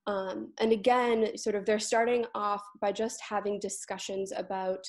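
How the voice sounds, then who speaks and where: A woman's voice: medium pitch, level tone, normal speed. Young woman explaining on a panel call. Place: indoor room on a video call. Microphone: video call.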